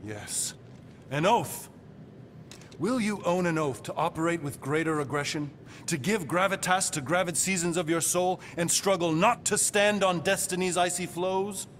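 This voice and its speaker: A man's voice: high voice, moderate pace, a bit bright. An actor playing a grandiloquent male cosmic being who speaks in flowery, archaic, alliterative phrases. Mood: solemn. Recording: long reverb with faint noise.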